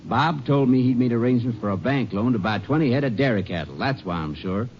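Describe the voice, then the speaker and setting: An actor playing a defensive, stubborn rural feed buyer with a folksy, emphatic delivery in old radio drama. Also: male voice, medium pitch, animated, a little fast, close to an everyday voice. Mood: indignant, insistent. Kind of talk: 1940s-50s radio drama acting. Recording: muffled, noisy old radio recording.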